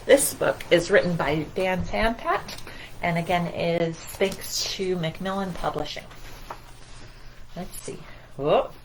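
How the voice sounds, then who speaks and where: A woman's voice: lowish voice, takes it slowly, a little hiss or hum, low-quality recording. A woman hosting a children's read-aloud and singalong, encouraging and asking her young listeners questions. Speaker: library storytime host.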